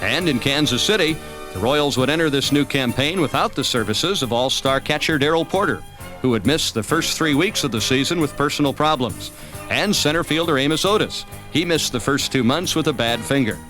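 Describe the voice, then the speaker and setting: An American man reading scripted sports documentary narration as the narrator of a highlight film. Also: animated, fairly quick, fairly bright tone. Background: background music.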